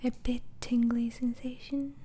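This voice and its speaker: A woman whispering in an ASMR roleplay as a doctor interviewing a patient, hesitantly asking questions and repeating answers back in a breathy voice. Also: fairly high pitch, little pitch movement, a little slow, warm tone, a little hiss or hum. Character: gentle, inquisitive female mental asylum doctor.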